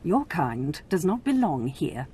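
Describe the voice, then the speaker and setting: A woman's voice: sits low, big pitch swings, slow, warm tone, slight background noise, exaggerated voice. An actress playing a scheming, possessive noble lady in a video game cutscene, talking in a cold, condescending way.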